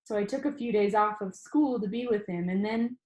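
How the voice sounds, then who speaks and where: A woman voicing a grieving, withdrawn schoolgirl in a storybook reading, subdued and too calm. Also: mid-range voice, moderate pace, natural voice. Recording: roomy, echoing room sound.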